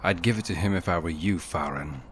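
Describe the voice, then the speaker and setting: An actor playing a calm, courteous male hero of a fantasy video game, direct and composed. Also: low voice, brisk, slight background noise, lightly acted. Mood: firm. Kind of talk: voiced video game protagonist.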